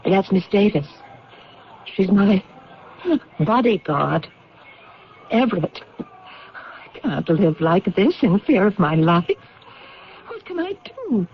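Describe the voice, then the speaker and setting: An anxious but determined woman in an old radio mystery. Her mid-pitched voice is earnest and intense, heard through a dull, muffled broadcast. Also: sing-song, slow pace, pauses often, a little hiss or hum. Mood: frightened. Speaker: radio drama actress. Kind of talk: vintage radio drama acting.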